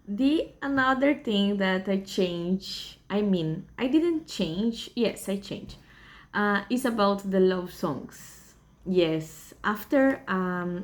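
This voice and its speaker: Brazilian-accented young woman, vlogger; casual, hesitant delivery, mid pitch. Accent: Brazilian Portuguese. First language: Portuguese. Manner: halting and hesitant, many fillers. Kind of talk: casual vlog chat.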